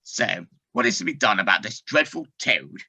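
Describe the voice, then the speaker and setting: A woman playing an indignant, complaining countryside animal in an amateur audio play, with a raspy, theatrical voice. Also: a bass voice, big pitch swings, normal speed, pushed and bright, exaggerated voice.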